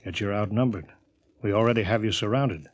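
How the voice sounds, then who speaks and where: An actor playing a steady, reasoning rocket crew captain in a 1950s radio drama, terse and measured. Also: male voice, lowish voice, no room sound, natural voice. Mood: grave, regretful. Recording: old 1950 radio recording.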